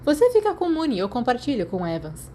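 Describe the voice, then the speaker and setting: A woman's voice: a little high, huge pitch swings, a little fast, warm tone, slight background noise, voice pushed up. A Brazilian woman voicing a cheeky, theatrical teenage boy wizard in an audiobook, teasing and mock-indignant. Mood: cheerful. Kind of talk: audiobook character voice.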